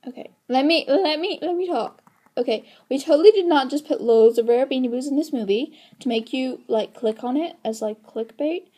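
A woman's voice: sits high, normal speed, dark tone. A woman voicing a bossy, melodramatic film director in an improvised toy comedy skit, theatrical and argumentative. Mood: self-important. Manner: theatrical, self-important, bickering.

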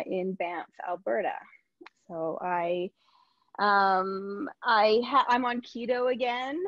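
A woman's voice: mid-range voice, no room sound. A woman in her forties with a Canadian accent, introducing herself casually as a guest. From Northwest Territories, Canada. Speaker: theatre director and guest. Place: room at home. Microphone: video call with earbuds. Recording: dull, dry video call audio.

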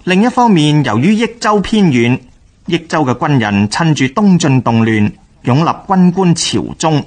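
A man with a Hong Kong Cantonese accent narrating scripted history for radio in a measured, expository manner. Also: fairly high pitch, very animated.

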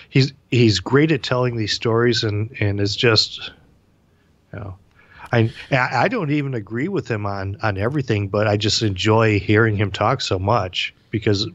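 A man's voice: lowish voice, no echo, lo-fi sound. A man co-hosting a political talk show over a video call, wry and joking, stumbling over repeated words. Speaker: co-host.

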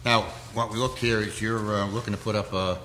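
Hoarse man chairing a town meeting. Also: on the low side, steady tone, fairly quick, pushed and bright, slight background noise, low-quality recording. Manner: plain-spoken, folksy, many fillers. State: hoarse with a cold. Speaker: zoning board chair. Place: town hall meeting room.

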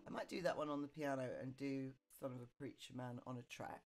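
A middle-aged woman with a low voice, casually chatting to viewers on her live request stream and muttering quietly to herself, close on a studio mic. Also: steady tone, fairly quick, music in the background. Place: home music room, indoors. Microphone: studio condenser mic on boom arm, wearing headphones.

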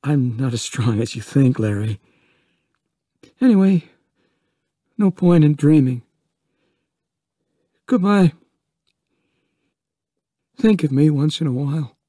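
A gentle married woman speaking in a soft, restrained way, as a male narrator puts her on. The reader lifts his voice well above his own, keeping a husky, dry sound. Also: a little high, animated, takes it slowly, long pauses, dry recording, pitched above the performer's own voice. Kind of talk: audiobook character voice.

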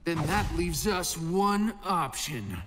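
A man voicing an excitable, bossy teen boy hero who leads his team, with a shrill, indignant and commanding manner in a cartoon. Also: slow, slight background noise, full character voice. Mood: determined. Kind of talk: cartoon voice acting.

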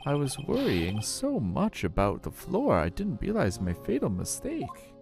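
A man voicing a jovial but menacing older corrupt police chief in a video game, theatrical and smug with drawn-out threats. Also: mid-range voice, wildly swooping pitch, gravelly, a little mellow, voice pushed up, heavily acted. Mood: recounting. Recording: music behind the voice.

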